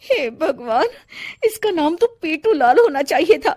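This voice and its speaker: A woman's voice: high voice, sing-song, measured pace, background noise, pitched above the performer's own voice. A woman voicing an overworked young wife in a cartoon, whiny and melodramatic in her exclamations. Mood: despairing. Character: panicky, overworked young wife, dramatic complainer.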